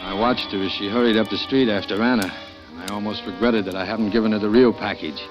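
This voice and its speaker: A man playing a smooth, coaxing schemer who talks down to a young woman, in a 1940s radio drama. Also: lowish voice, fairly even intonation, fast, over loud music, natural voice. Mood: narrating. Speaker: lead actor. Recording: dull lo-fi 1940s radio broadcast.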